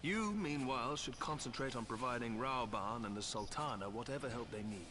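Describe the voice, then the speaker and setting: A man's voice: medium pitch, a little fast, background noise, close to an everyday voice. A man playing a proud, witty veteran airship engineer in a game cutscene, eloquent and self-satisfied. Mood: composed. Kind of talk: scripted game cutscene acting.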